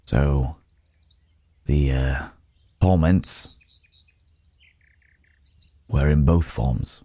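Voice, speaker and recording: Guy doing a tired haunted werewolf man, asmr roleplay, dark mellow voice, super close and dry, pensive. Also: a bass voice, no room sound, heavily acted. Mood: pensive, explaining. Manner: halting, grave, pensive. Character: weary, remorseful male werewolf in human form. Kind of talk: slow, intimate ASMR roleplay.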